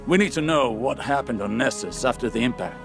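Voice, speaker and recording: An actor playing a composed male scholar in a video game, with a measured, serious and dry manner. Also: medium pitch, lively intonation, gravelly, music in the background. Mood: concerned. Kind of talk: video game cutscene acting.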